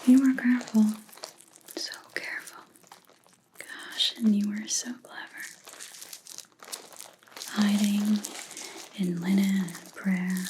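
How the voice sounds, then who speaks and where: A woman playing a stern, menacing vampire hunter in an ASMR roleplay, speaking in a breathy whisper with deliberate, taunting pauses. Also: medium pitch, a little mellow, slight background noise, lightly acted. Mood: cold, contemptuous. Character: stern female vampire hunter interrogating a captive, menacing, contemptuous.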